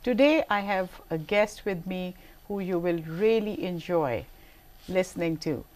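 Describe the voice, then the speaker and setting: A woman from Pakistan hosts an interview show. She asks her questions in a formal, deliberate way. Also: on the low side, expressive, darkish tone. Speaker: talk show host. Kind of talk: hosting an interview.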